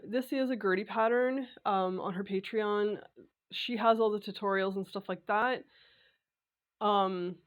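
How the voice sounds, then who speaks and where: A raspy, stuffed-up woman talks to camera in an easy, chatty way, pausing often on filler sounds. Her voice sits at an ordinary middle pitch for a woman, a little rough from a lingering cold. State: congested, recovering from a cold. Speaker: sewing vlogger. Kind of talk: casual talk to camera.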